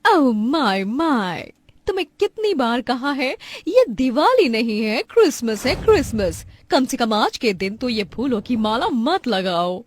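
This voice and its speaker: A woman's voice: fairly high pitch, big pitch swings, slow pace, full character voice. A woman voicing a vain, bratty young modern daughter-in-law in a cartoon family drama, haughty and emphatic. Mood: indignant.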